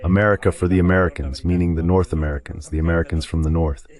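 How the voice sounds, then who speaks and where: Man, very deep pitch, political analysis, translated voice-over delivery. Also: steady tone, fast talker, a little mellow. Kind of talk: dubbed political commentary.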